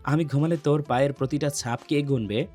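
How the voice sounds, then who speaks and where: A man's voice: medium pitch, brisk, warm tone, soft background music, close to an everyday voice. A man playing an intense, restrained, protective young man in love in a Bengali audio love story. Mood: tender, aching.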